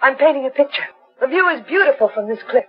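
High, quick evasive painter. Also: female voice, expressive, soft background music. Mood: evasive, surprised. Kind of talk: 1940s radio acting. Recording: muffled vintage radio broadcast.

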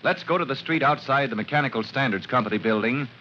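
A man's voice: medium pitch, fast talker, pushed and bright, a little hiss or hum. A man narrating a 1940s radio drama as announcer, with a grave, theatrical and emphatic delivery. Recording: muffled old radio recording.

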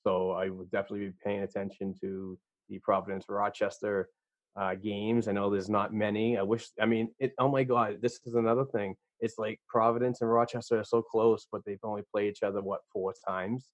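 Lower-voiced man with a Boston accent. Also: flat delivery, fairly quick, no room sound, muffled recording. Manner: enthusiastic, rambling, filler-heavy. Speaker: podcast guest. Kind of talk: casual podcast interview.

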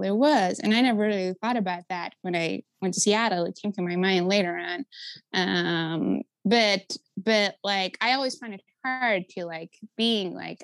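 A woman's voice: on the low side, no room sound. A woman from Sweden with a Swedish accent, chatting earnestly as an interview guest and filling her speech with "like". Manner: earnest and chatty, many filler likes. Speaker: guest, app founder. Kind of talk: conversational interview.

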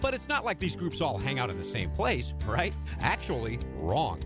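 A man's voice: medium pitch, wildly swooping pitch, moderate pace. A man delivering a scripted radio advert in a conversational way. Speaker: advert announcer. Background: music.

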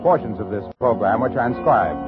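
A man's voice: on the low side, animated, normal speed, music in the background. An American man announcing and reading advertising copy on 1950s radio in an emphatic, formal delivery. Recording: thin, tinny vintage radio recording.

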